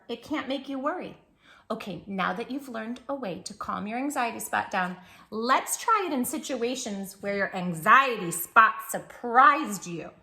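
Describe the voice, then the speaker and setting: A woman host reading a picture book aloud to young children in an expressive, encouraging way, speaking straight to the listener. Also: fairly high pitch, creaky.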